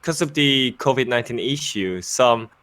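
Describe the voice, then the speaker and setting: A young man from Busan who speaks English with a Korean accent, a former pro player and caster chatting casually in an interview, halting with many fillers and restarts. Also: medium pitch, expressive, a bit bright, no echo. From Busan, South Korea. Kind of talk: casual interview over a call.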